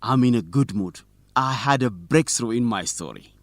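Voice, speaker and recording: An actor playing a principled, stubborn young student journalist in an educational radio drama, speaking expressively and clearly. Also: male voice, mid-range voice, lively intonation, normal speed, dry recording, close to an everyday voice. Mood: cheerful, teasing.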